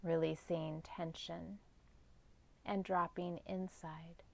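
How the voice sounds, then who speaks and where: A woman guiding a meditation as a yoga nidra teacher, speaking in gentle, measured instructions with long pauses. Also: lowish voice, level tone, gravelly, slight background noise. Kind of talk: guided meditation.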